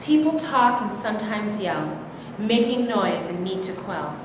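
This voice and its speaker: A woman in a staged play reading, playing a solemn imaginary woman who recites rhyming verse in measured, declamatory rhythm. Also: medium pitch, sing-song. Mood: solemn, insistent. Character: imaginary woman solemnly reciting rhymes about human struggle. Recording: very echoey hall, clear noise.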